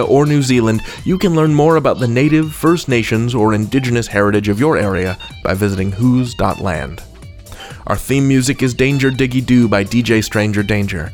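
A man hosting a podcast from a script, playful, wry and a little theatrical. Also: mid-range voice. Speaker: podcast host.

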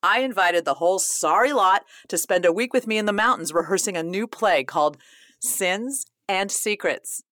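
An actress playing a scheming, imperious playwright in a radio play, with a melodramatic, emphatic delivery. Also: female voice, medium pitch, moderate pace, a bit bright, no room sound, clean studio sound, close to an everyday voice. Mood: sly, scheming.

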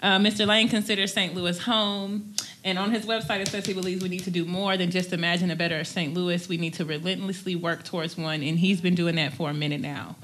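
Lively woman podcast host. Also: fairly low pitch, a little fast, a little hiss or hum. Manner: lively, emphatic, laughs often. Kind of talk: casual podcast chat and interview.

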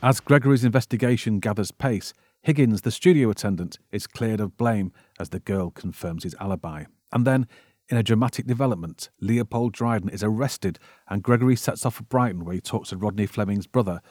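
A man's voice: lowish voice, a little mellow, no echo, studio quality. A British man hosting a podcast, reading scripted narration as a wry, theatrical storyteller. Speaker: podcast host.

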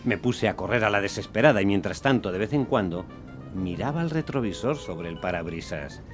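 An exasperated, luckless taxi driver narrates his tale in a radio play, acted by a man. His delivery is wry and animated, full of swings in pitch, with soft music behind. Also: fairly quick, lightly acted. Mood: resigned, wry. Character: exasperated, unlucky Roman taxi driver telling his story. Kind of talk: dramatised first-person radio narration.

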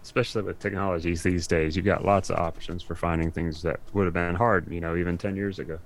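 Male podcast guest with a deep, low voice, talking informally. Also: steady tone, fast talker. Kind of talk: casual chat.

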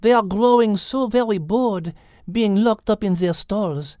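A man voicing a thoughtful, courteous little female cat in a storybook, with a French accent and gently precise speech. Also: sits high, measured pace, dry recording, clean studio sound, voice pushed up. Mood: thoughtful, concerned.

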